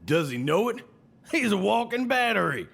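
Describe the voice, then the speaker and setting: A man's voice: high-pitched, very animated, moderate pace, creaky, fairly bright tone, slightly rough, heavily acted. An actor playing a brash, sly, folksy salesman with an American Southern accent and needling, colourful idioms in an audio drama. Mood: cocky, mocking. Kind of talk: scripted audio drama acting.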